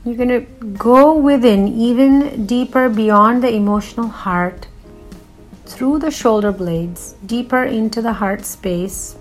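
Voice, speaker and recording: A woman leading a guided meditation in a soothing, unhurried manner with long pauses. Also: a little high, soft background music, low-quality recording.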